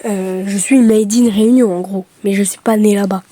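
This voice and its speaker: A woman's voice: medium pitch, fairly even intonation, moderate pace, warm tone, dry recording. A young woman from France chatting casually to her camera, hesitant with many fillers and self-mocking asides. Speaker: vlogger.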